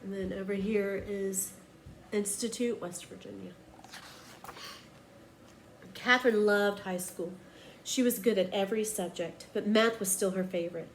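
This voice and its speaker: A woman reading a children's picture book aloud like a teacher, with expressive, emphatic storytelling. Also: mid-range voice, a little slow, long pauses, a little hiss or hum.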